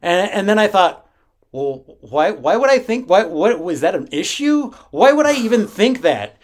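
A man's voice: high voice, sing-song, moderate pace. A man co-hosting a podcast, talking casually and animatedly and laughing often. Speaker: podcast co-host. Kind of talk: casual chat.

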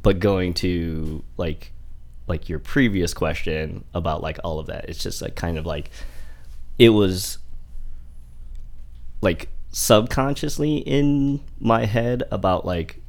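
A man in his forties with a very low, deep voice rambles through a casual conversation. He circles back on himself with constant fillers and restarts as he tells stories from growing up in Indiana. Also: takes it slowly, vocal fry. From Indiana, USA. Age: 40s. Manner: rambling, heavy use of "like" and restarts. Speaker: guest.

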